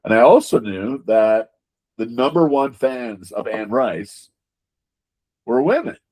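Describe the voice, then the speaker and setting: A man's voice: medium pitch, animated, slow pace, darkish tone, low-quality recording. A man giving conversational interview answers as a game designer, emphatic, repeating words and often checking in with rhetorical questions.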